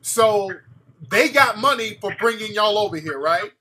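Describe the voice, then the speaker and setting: Male call-in radio host, high voice, sharp bright tone, blunt, impatient, repeats his questions. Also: expressive, unhurried. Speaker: radio talk show host. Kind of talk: call-in show, pressing questions.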